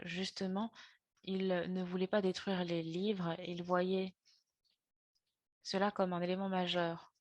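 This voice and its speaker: A woman delivers a lecture in an online webinar, her voice low-pitched and heard dry with no room sound. She talks haltingly, filling pauses and correcting herself mid-word as she works through her points. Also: no echo. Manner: halting, with frequent fillers and self-corrections. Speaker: webinar presenter. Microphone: video call.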